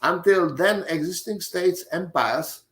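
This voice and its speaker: A man's voice: sits high, fairly bright tone. Older man with a Czech accent. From Czech Republic. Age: 70s. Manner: halting, many fillers and restarts. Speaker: lecturer. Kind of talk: academic lecture.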